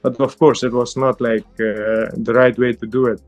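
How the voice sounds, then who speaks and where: Pashto-speaking fighter, halting casual English. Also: male voice, medium pitch, flat delivery, a little mellow, faint music behind. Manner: halting non-native English, laughs often. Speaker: MMA fighter, interviewee. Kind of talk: casual interview answers.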